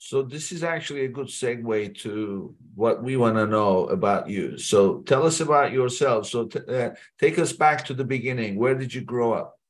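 A man's voice: mid-range voice, normal speed, hardly any pauses. Blunt, laughing male podcast host. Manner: blunt, laughs easily, asks probing questions. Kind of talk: casual interview talk.

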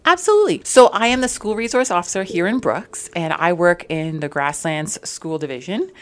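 A woman from Zambia in her thirties, with a notably low voice. She talks as an interview guest, friendly and animated, telling stories from her work as a police officer. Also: moderate pace. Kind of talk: conversational interview.

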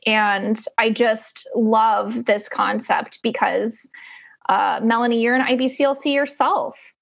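Woman host, fairly high voice, friendly and casual, lots of fillers. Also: vocal fry. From Columbus, Ohio. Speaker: podcast host, lactation consultant. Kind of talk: conversational podcast interview.

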